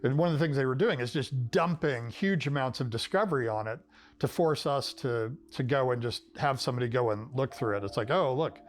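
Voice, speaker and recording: A man's voice: mid-range voice, a little fast. A man explaining events in a documentary interview, with wry asides.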